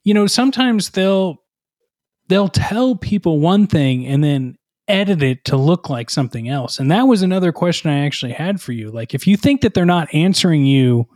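A man's voice: a little high, expressive, moderate pace, darkish tone, no echo, studio quality. A man hosting a podcast, interviewing a comedy character in a playful, wry way. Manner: playful, wry, goes along with the bit. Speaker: podcast host.

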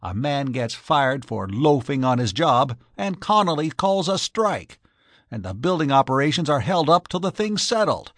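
A man voicing an aggrieved, energetic music publisher who complains emphatically in an audiobook. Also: on the high side, dry recording, studio quality, pitched above the performer's own voice, exaggerated voice. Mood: indignant complaint. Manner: emphatic, complaining, drawn-out stresses. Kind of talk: audiobook character voice.

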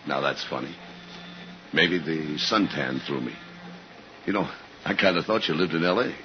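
An actor playing a guarded, awkward undercover man posing as a friendly traveler in a 1950s radio drama, with halting, understated delivery. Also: rumbling low. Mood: casual, probing. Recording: muffled, hissy 1950s radio recording.

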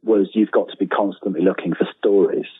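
A man's voice: low-pitched, fairly even intonation, fairly quick, slightly rough. A man talking casually as an interview guest, rambling and self-deprecating, often restarting his sentences. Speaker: interview guest, freelance writer. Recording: tinny phone-like line with room echo.

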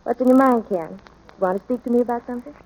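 Woman acting a mellow, gentle ranch mother. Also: fairly high pitch, slight background noise, natural voice, poor audio. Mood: gentle, thoughtful. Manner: gentle, thoughtful, measured. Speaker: actor in radio play. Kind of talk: 1940s radio drama acting.

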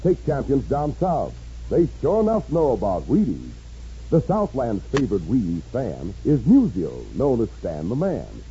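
A man's voice: medium pitch, huge pitch swings, measured pace. A man reading a rhyming commercial as a 1950s radio announcer. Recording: muffled old radio, music behind.

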